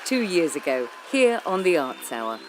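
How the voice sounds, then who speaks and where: A woman presenting a radio arts programme, reading scripted narration in a measured, expressive way. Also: lowish voice, crowd noise, background noise.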